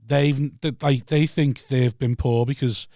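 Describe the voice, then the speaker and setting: A man's voice: mid-range voice, steady tone, unhurried, mellow, no echo, clean studio sound. A man hosting a podcast, talking in a casual, slangy, rambling way. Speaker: podcast host.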